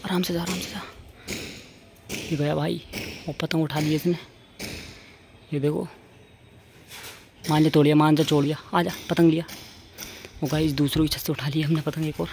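A man's voice: on the high side, flat delivery, normal speed, vocal fry, long pauses. A young man giving casual, repetitive running commentary to his viewers, speaking informally. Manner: informal, addresses viewers as friends, repeats phrases. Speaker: vlogger. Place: outdoors on open rooftops. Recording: noisy open-air handheld recording.

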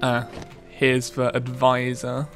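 A man's voice: mid-range voice, monotone, slow pace, fairly bright tone. A man gives casual let's play commentary. He takes his time as he talks, with some game music playing behind him. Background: video game music.

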